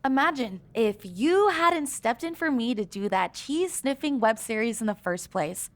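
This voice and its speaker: A woman's voice: fairly high pitch, expressive, moderate pace, no echo, natural voice. An actress playing a scrappy, persistent young woman wrestler, pointed and earnest, in a scripted video game cutscene. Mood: bitter, needling.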